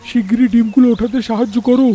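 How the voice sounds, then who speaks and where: An earnest little male bird from a cartoon fable, played by a man. His voice is high yet very muted and mellow. He sounds humbled and sincere, with faint music behind. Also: fairly even intonation, quick pace. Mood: urgent. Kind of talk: animated moral story dialogue.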